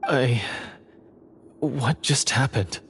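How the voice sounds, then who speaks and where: A man's voice: mid-range voice, extremely slow, fairly bright tone, slight background noise. An actor playing a troubled, remorseful heir of monster hunters in a video game, hesitant and brooding as he confesses. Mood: dazed, startled. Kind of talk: scripted dramatic game dialogue.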